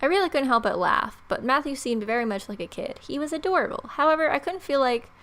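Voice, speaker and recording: A woman's voice: sits high, animated, fast talker, fairly bright tone. A young woman hosting a gaming let's play, reading a story aloud and adding wry, playful casual asides. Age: young adult. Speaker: gaming host.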